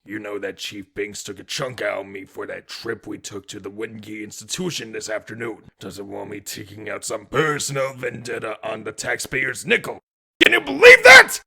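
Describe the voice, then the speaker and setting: A man voicing a bitter, hot-tempered police detective in a comic dub, raspy and forceful, swinging between muttering and ranting. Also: lowish voice, big pitch swings, moderate pace, full character voice. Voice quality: gravelly, raspy.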